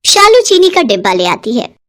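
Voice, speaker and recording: A woman narrating a cartoon story in a clear, even storytelling manner. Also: on the high side, moderate pace.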